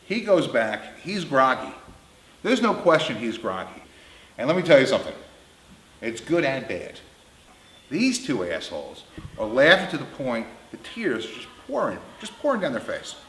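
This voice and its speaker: Animated, blunt middle-aged man in a roomy space. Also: medium pitch, normal speed, a little hiss or hum. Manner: blunt, crude, emphatic, swearing. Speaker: storyteller. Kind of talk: comedic anecdote storytelling. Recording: roomy, echoing indoor sound.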